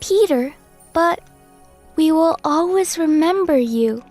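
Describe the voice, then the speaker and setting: Woman doing sweet earnest little girl, kids story, really high voice, soft music under it. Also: animated, slow. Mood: gentle, wistful. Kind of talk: children's storybook role-play.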